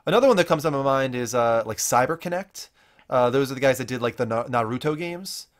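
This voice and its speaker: A man's voice: medium pitch, animated, brisk. A man hosting a casual panel chat about video games, conversational and thinking aloud as he goes. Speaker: show host leading a panel.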